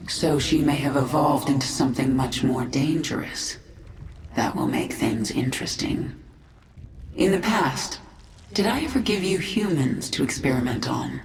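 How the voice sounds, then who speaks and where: A woman's voice: very deep voice, unhurried, recorded from a distance. A woman playing a vengeful, commanding queen of an alien swarm in a video game, speaking tersely and with authority. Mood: stern, probing. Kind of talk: video game character acting. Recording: roomy echo, noisy game ambience.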